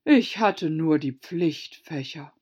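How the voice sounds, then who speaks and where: A woman voicing a gloomy, easily annoyed old female turtle from a storybook, slow and sighing, with a hollow voice. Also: expressive, a little mellow, muffled recording. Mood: sighing, self-important. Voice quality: deep, hollow voice.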